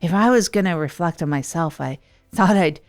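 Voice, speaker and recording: Hapless advice-show radio host for audio comedy,, hesitant, confessional, mid-low pitch, music underneath. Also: female voice, expressive, moderate pace. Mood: rueful, reflective. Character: self-deprecating failed-entrepreneur radio host Tog, trying stand-up comedy. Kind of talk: improvised comedy monologue in an audio drama.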